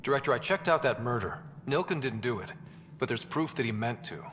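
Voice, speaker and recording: An actor playing a level-headed young space explorer in a video game, plain-spoken and respectful. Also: male voice, medium pitch, expressive, brisk, a bit bright, a little hiss or hum, close to an everyday voice. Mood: serious, assured. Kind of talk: video game cutscene acting. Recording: reverberant hall with background music.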